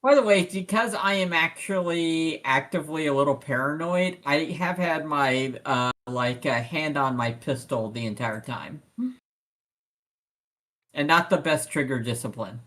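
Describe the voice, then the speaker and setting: Mid-pitched player thinking out loud. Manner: halting, thinking aloud. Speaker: tabletop game player. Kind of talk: casual in-character roleplay chat.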